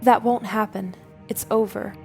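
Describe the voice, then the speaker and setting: A woman voicing a young female warrior in an audio drama, gentle, earnest and troubled. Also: medium pitch, steady tone, measured pace, gravelly, faint music behind.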